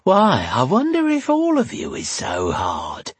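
A man's voice: high-pitched, wildly swooping pitch, measured pace, slightly rough, dry recording, pitched above the performer's own voice, full character voice. A man voicing a coarse, lecherous middle-aged tavern woman with a suggestive, folksy drawl in a fantasy audiobook. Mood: flirtatious, lecherous. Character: lecherous, homely heavy-set tavern woman in her forties, coarse.

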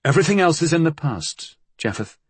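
A resigned gentleman crook voiced by a male narrator, pitched up from the narration and a little husky. He is clipped, measured and evasive, an audiobook character who keeps things to himself. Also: sing-song, no room sound. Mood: guarded. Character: weary, reserved gentleman crook, guarded and resigned.

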